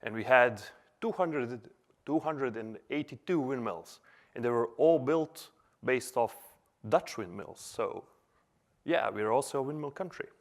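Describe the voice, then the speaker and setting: A man in his thirties from Serbia talks to a conference crowd in an easygoing, chatty way, with plenty of fillers. His voice sits at an ordinary middle pitch. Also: lively intonation, measured pace. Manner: casual, chatty with filler words. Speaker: conference speaker. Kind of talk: conference talk. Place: conference hall.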